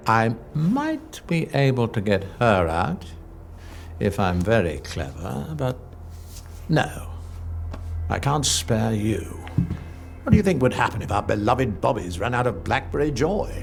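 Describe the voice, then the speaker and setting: A menacing old general in a video game drama, slow and gravelly. His delivery is theatrical and insinuating, swinging widely in pitch, with a possessive, wistful edge. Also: very animated, soft background music. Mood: calculating. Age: older.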